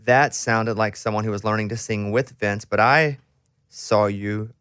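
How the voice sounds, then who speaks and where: A man's voice: lowish voice, fairly even intonation, a little slow, a bit bright, smooth, no echo, clean studio sound. A man, hosting a casual interview in a chatty, informal way. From Arkansas, USA. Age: 30s to 40s. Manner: chatty, informal, talks at length before questions. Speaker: interview host.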